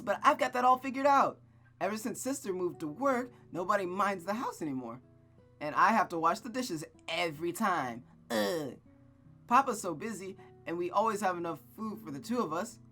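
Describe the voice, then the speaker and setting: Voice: medium pitch, lively intonation, normal speed, bright, forceful tone, faint music behind, pitched above the performer's own voice. A reader voicing a headstrong, chatty young girl from a visual novel, earnest, eager and insistent. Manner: eager, emphatic, pleading. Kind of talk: visual novel read-aloud character voice.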